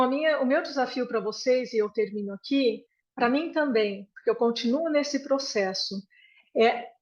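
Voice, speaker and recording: A woman's voice: fairly high pitch, echoey room, highs cut off. A Brazilian woman sharing earnestly at a prayer meeting, speaking informally and rambling, with frequent restarts.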